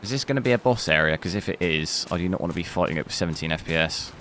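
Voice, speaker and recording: A man streaming a video game live, talking casually through the settings, with a hoarse voice he says he is losing. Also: deep voice, expressive, rapid-fire. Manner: thinks aloud casually, bursts into loud dismayed cries. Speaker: gaming streamer. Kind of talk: live gameplay commentary. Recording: noticeable background noise.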